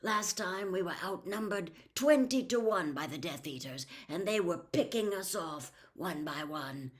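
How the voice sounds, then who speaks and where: A woman voicing a steady grown man who speaks firmly and soothingly. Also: a little slow. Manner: firm, soothing, low-key. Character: calm, firm kindly grown man.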